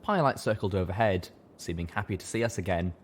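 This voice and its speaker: A man narrating a first-person fantasy audiobook in a steady storytelling manner. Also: sits low, fast talker, a little hiss or hum.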